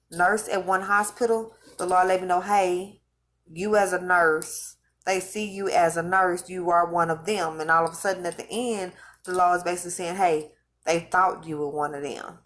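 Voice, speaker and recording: A woman's voice: fairly low pitch, steady tone, normal speed, fairly bright tone. A woman who is losing her voice, talking casually and rambling to her viewers, repeating and restarting phrases as she recounts visions. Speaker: vlogger recounting religious visions. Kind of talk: casual spoken monologue to viewers.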